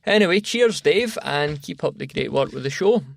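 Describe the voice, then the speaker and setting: A man's voice: a little high, lively intonation, moderate pace, gravelly. A man with a Scottish accent giving an informal, dryly humorous voice message as a podcaster.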